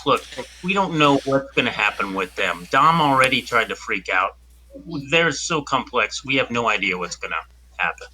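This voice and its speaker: Male tabletop player, very bright forceful voice, lively pitch, halting in-character explanations. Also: slight background noise, rough recording. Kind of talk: improvised in-character role-play.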